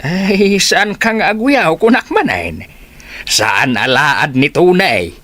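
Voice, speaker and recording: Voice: medium pitch, very animated, slow, a bit bright, dry recording, exaggerated voice. An actor with an Ilocano accent playing an exasperated, scolding older village parent in a comedy radio drama.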